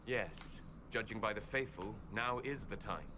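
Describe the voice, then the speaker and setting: An actor playing a solemn, calm male elven mage who speaks in measured, formal lines of fantasy game dialogue. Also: fairly low pitch, natural voice. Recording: clear ambient noise behind the voice.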